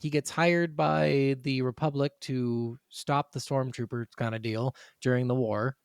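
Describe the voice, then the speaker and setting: Man, medium pitch, casual podcast chat, rambling explainer, sweary, dry sound. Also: steady tone, measured pace, gravelly, clean tone, no room sound. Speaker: podcast co-host. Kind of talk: casual chat about video games.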